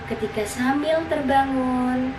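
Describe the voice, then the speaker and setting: A woman narrating a scripted story for children in an expressive storytelling style. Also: sits high, slow pace. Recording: roomy, distant, noisy recording.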